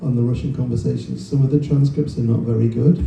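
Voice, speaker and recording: A man's voice: mid-range voice, steady tone, fast talker, vocal fry, mellow, far from the mic. A man hosting a live book discussion as a fellow author, chatting in an enthusiastic, joking way. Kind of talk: casual live book-event conversation. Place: indoor bookshop event, recorded from the audience. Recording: echoey room, recorded from afar, faint noise.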